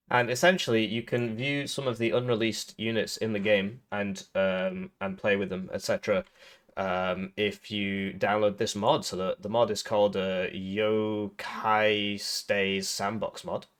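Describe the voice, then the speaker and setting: A man with a cold, sounding a little throaty, giving casual rambling commentary over a strategy game with lots of fillers and restarts. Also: lowish voice. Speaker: gaming video creator.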